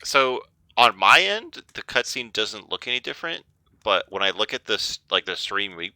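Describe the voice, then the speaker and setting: A man's voice: on the low side, very animated, moderate pace, bright, forceful tone, no echo. A male streamer giving casual, joking let's-play commentary, chatty and rambling.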